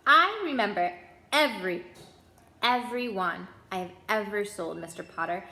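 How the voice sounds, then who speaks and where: A woman's voice: mid-range voice, moderate pace, vocal fry, pushed and bright, reverberant. A woman voicing an eccentric old male wand seller in a storybook, eager and eerie, musing and repeating words to himself. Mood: grave, eerie.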